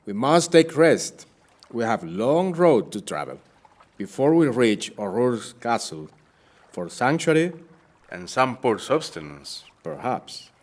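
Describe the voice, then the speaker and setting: A man as a slow, weary Spanish sea captain. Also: mid-range voice, sing-song, darkish tone. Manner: dramatic, formal storytelling. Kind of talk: audio play monologue and dialogue.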